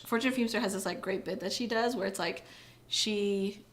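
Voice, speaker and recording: A woman speaking as a guest on a podcast, chatting casually. She fills her talk with "like" and breaks into laughter often, and her pitch sits in a middle range. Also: normal speed. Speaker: guest writer.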